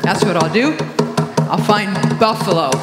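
A woman's voice: mid-range voice, a little slow, in a large hall, loud music behind the voice, natural voice. A woman voicing a demanding, complaining male coyote in a story. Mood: annoyed. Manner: complaining, insistent.

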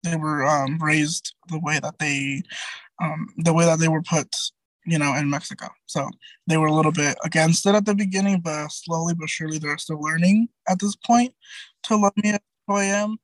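Light high-voiced young man, chatty. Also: steady tone, normal speed, no echo, low-quality recording. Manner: chatty, full of "like" and "um", restarts. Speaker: interview guest. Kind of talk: casual personal interview.

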